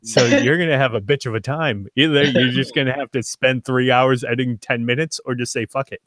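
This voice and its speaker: Casual, halting man running a tabletop game. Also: medium pitch, fairly even intonation, fairly bright tone, dry recording. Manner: halting, self-deprecating. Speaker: game master.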